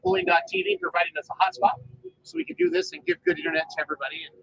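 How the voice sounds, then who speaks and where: Fast-talking, gravelly high-voiced man. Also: wildly swooping pitch, fairly bright tone, a little hiss or hum. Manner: quick, joking, talkative. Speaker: co-host of a weekly pulling show. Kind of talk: casual live show chat. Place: outdoors at a state fair. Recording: slightly dull live stream sound with faint fair ambience.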